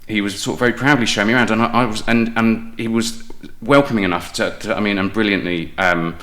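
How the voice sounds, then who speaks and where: British male, deep and bright, animated guest storyteller with halting, self-interrupting delivery. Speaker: guest playwright and audio drama maker. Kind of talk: casual enthusiastic interview storytelling.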